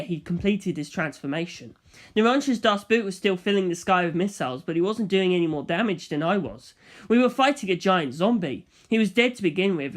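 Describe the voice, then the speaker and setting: A man narrating a first-person story as a scripted audiobook, reading in a dramatic, expressive way. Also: high voice.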